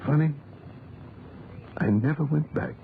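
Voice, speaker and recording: An actor playing a kindly, talkative old English gentleman gardener who mutters to himself, in a 1940s radio drama. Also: fairly high pitch, measured pace, vocal fry. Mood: genial, musing. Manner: rambling, muttering to himself and to things. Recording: muffled noisy 1940s radio recording.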